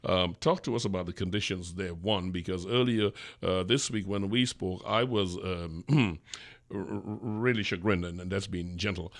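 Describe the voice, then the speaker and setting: A middle-aged man hosting a radio interview in a studio, asking measured, probing questions and affirming his guest. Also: low-pitched. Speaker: radio host. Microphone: studio microphone, headphones.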